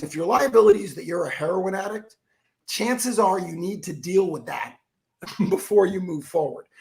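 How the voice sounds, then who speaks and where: A man with a froggy, rough voice telling stories as an energetic interview guest, acting out the quotes. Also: a little high. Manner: energetic storyteller who acts out quotes. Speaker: guest, keynote speaker and author. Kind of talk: animated interview talk.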